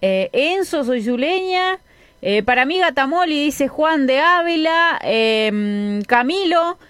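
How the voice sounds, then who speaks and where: A woman co-hosting a radio show, reading listener messages aloud in a measured way with hesitant fillers. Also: high-pitched, animated, a bit bright, no room sound. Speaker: radio co-host.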